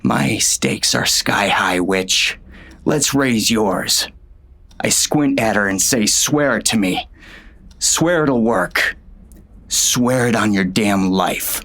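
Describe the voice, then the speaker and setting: A man playing a gruff, bitter gunslinger who tells his own story in terse, folksy western talk, as dramatic audiobook narration. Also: on the low side, animated, slow, sharp tone, exaggerated voice. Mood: angry, demanding. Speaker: audiobook narrator and lead actor.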